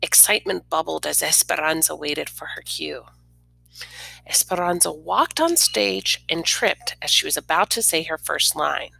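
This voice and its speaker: A woman reads a picture book to young children with expression. Her voice is lowish and bright with some vocal fry, recorded dry and close. She explains things in simple, informal words. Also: lively intonation, normal speed, no room sound, poor audio. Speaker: read-aloud storyteller.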